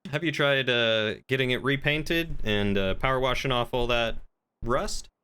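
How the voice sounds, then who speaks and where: Affable male tavern keeper, acted by a man for game roleplay: clear, bright, courteous, folksy. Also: mid-range voice, unhurried. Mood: curious, polite. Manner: polite, folksy, hesitant small talk.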